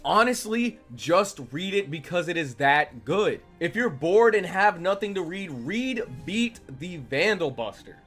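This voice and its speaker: A man's voice: high-pitched, very animated, a little slow, few pauses, a bit bright. A man delivering review commentary as a video reviewer. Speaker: manga reviewer. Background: background music.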